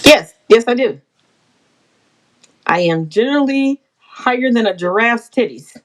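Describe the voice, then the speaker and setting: A woman's voice: on the high side, expressive, measured pace. A brash, playful woman from the Bahamas chatting casually and swearing freely on a livestream. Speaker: livestream host.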